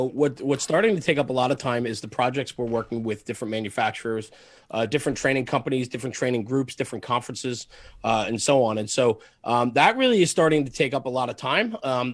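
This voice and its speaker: A man's voice: medium pitch, dry recording. A man speaking as a podcast guest in casual conversation, earnest and emphatic, repeating phrases to drive a point home. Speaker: podcast guest, fire service media host. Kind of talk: casual podcast interview, passionate monologue.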